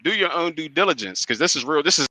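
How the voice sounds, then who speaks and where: Bright-voiced rambling male caller. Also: fairly high pitch, lively intonation, fast talker, no echo, poor audio. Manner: rambling, profane. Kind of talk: call-in radio comment.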